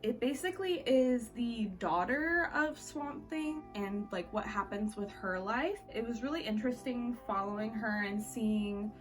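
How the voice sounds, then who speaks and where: A woman's voice: a little high, a little slow, hardly any pauses, faint music behind. A woman talking casually and conversationally as a book vlogger, giving informal reviews with wry asides.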